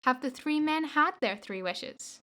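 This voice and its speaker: Woman playing a high, bright, cheeky daughter. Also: lively intonation, measured pace. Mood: curious. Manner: playful, pert. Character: cheeky, teasing grown-up daughter. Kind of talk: radio play acting.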